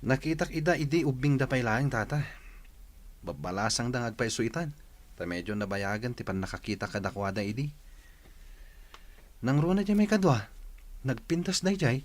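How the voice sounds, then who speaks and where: Respectful young village bachelor played by a man, Filipino accent, medium pitch, comedy drama. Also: lively intonation, moderate pace, pauses often, close to an everyday voice.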